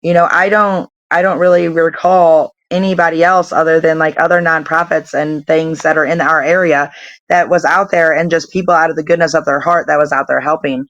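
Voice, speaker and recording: A woman's voice: sits low, fairly even intonation, a little fast, a bit bright, no room sound. Rambling guest woman, dull lo-fi audio. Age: 40s. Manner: rambling, full of fillers. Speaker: nonprofit founder and guest. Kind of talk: casual interview chat.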